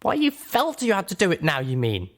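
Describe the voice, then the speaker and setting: A man's voice: sits high, huge pitch swings, fairly quick, a bit bright, pitched above the performer's own voice, full character voice. A man voicing a stubborn, angry young female pony in a dramatized audiobook, with a harsh, emphatic delivery. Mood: angry, bitter.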